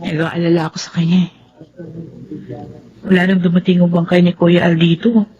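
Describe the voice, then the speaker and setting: An actress with a Filipino accent playing a concerned, outspoken younger sister in a radio drama. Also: female voice, lowish voice, level tone, moderate pace, warm tone, muffled recording, close to an everyday voice. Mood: worried, sad.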